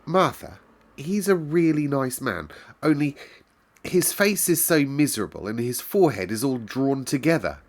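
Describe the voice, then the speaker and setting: A man's voice: mid-range voice, expressive, normal speed, pitched above the performer's own voice. A man reading aloud and voicing a sickly, sour little girl who speaks in an eager, pleading way. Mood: excited. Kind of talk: storybook read-aloud.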